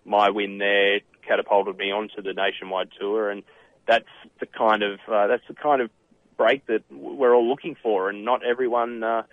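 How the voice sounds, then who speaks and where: A man in his thirties from Australia, with an Australian accent, a professional golfer answering radio interview questions haltingly with many fillers. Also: fairly low pitch, little pitch movement, dry recording. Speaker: professional golfer and author, guest. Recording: tinny phone line.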